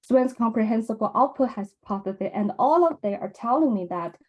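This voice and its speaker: A young woman from mainland China with a Chinese accent, giving a measured academic conference presentation with frequent ums and uhs. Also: on the high side, animated, darkish tone. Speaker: presenter at a research symposium.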